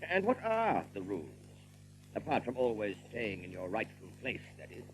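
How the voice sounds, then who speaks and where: An actor playing a rabbit major in an audiobook drama, a courteous, wary leader who speaks politely and with some hesitation. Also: male voice, lowish voice, wildly swooping pitch, moderate pace, slight background noise, rough recording. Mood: wry, wary.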